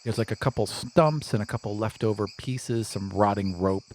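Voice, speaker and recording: A man's voice: on the low side, moderate pace, a little mellow. A man narrating a tabletop roleplaying game as game master in a casual, descriptive way. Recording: faint insect noise behind the voice.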